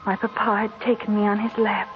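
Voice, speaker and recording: A woman's voice: mid-range voice, level tone, fairly quick, close to an everyday voice. A woman playing an earnest, anxious young married woman with formal, melodramatic delivery in an old radio drama. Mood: grave, sorrowful. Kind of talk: 1940s radio drama acting. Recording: muffled old radio recording with music.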